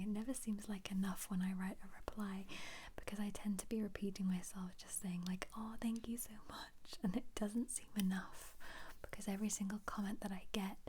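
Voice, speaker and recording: A woman speaking in a breathy, unvoiced whisper close to the mic, gently musing in a casual ASMR commentary. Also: mid-range voice, steady tone, brisk, slight background noise. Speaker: ASMR video creator.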